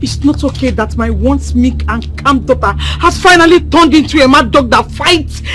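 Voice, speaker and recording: A woman's voice: high-pitched, normal speed, faint music behind. An actress playing an angry mother scolding over her daughter. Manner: scolding, shouting.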